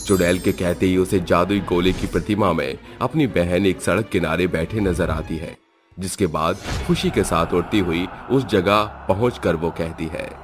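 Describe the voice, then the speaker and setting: A man's voice: deep voice, moderate pace. A man giving dramatic scripted narration of a horror story. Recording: music behind.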